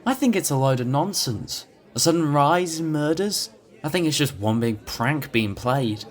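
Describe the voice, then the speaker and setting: Man playing an animated, opinionated male student. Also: a little high, moderate pace, some chatter behind, close to an everyday voice. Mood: dismissive. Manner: animated, eager explaining. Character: chatty, opinionated male college student, history enthusiast. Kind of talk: amateur audio drama dialogue.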